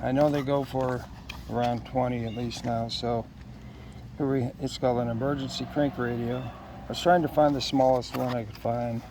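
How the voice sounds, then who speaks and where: Male unboxer, casual and halting, creaky mid-pitched voice, clear noise behind. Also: steady tone. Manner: unscripted, halting, thinking aloud. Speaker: product unboxer.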